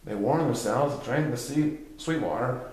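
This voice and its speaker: A man's voice: medium pitch, unhurried, darkish tone. Man reading a story in a very echoey room. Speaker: reader. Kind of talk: scripted story narration, reading aloud.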